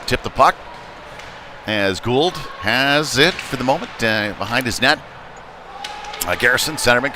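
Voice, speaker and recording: Male hockey play-by-play commentator, casual and unpolished, average pitch, live from a noisy rink. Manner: casual, unpolished, conversational between plays; excited calls when play heats up. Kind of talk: live sports commentary of a youth ice hockey game. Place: indoor ice rink. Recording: noisy live rink stream, clear background noise. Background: rink ambience and noise.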